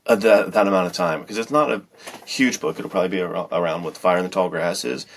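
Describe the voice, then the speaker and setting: A man's voice: low voice, steady tone, fast, slight background noise. A man co-hosting a podcast as an author, chatting in an informal, enthusiastic way. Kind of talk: casual chat.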